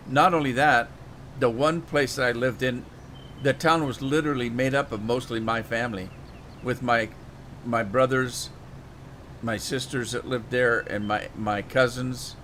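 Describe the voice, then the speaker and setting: A man's voice: medium pitch, a bit bright, slight background noise. A man streaming a farming game, chatting in a rambling, folksy way while slightly losing his voice. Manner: rambling, folksy, restarts often. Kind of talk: casual live-stream chat while playing.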